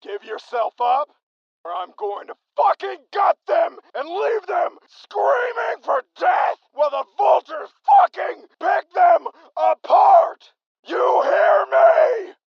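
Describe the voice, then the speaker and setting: An actor playing an enraged, foul-mouthed raider gang boss who shouts cruel, vengeful threats in an audio drama. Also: male voice, very high-pitched, very animated, takes it slowly, gravelly, no echo, lo-fi sound, full character voice. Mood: threatening, vengeful. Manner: shouting, swearing, menacing. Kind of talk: post-apocalyptic audio drama acting.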